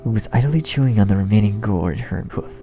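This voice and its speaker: A man's voice: lowish voice, fast, dull audio. A man reading a horror story as narrator in a scripted style. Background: background music.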